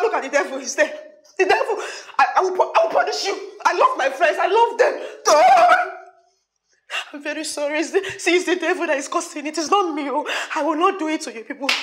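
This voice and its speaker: An actress playing a dramatic, remorseful woman with a Nigerian accent and a high voice. She pleads and wheedles in a skit, swinging between sobbing and nervous laughter. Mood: begging. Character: remorseful woman begging her friends, dramatic. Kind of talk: comic skit acting.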